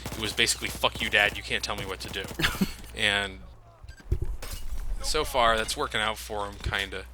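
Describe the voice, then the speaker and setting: A man's voice: fairly low pitch, steady tone, moderate pace, background noise. A man giving casual, sardonic video game commentary with some swearing.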